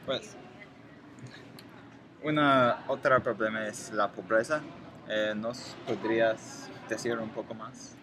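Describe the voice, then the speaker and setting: Very slow, halting man asking questions. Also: lowish voice, fairly even intonation. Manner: very slow, halting, non-native phrasing. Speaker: student interviewer. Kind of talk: reading an interview intro. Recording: noisy, from off-mic.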